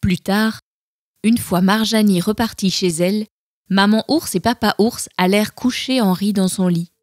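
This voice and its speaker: A woman's voice: mid-range voice, fairly even intonation, normal speed, no echo, clean studio sound. A woman narrating a children's storybook and hosting, with music behind her. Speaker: storybook narrator and channel host. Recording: music bed under the voice.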